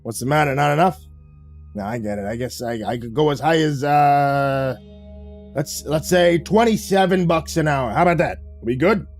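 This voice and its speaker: A man voicing a brash, folksy middle-aged car dealer boss who talks in casual slang with cajoling pauses. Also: on the high side, soft background music, natural voice.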